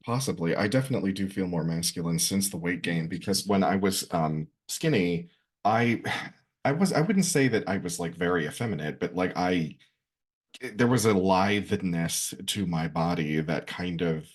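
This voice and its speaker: Very deep-voiced, hesitant male podcast co-host. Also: moderate pace. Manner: hesitant, lots of fillers and restarts. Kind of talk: casual podcast chat.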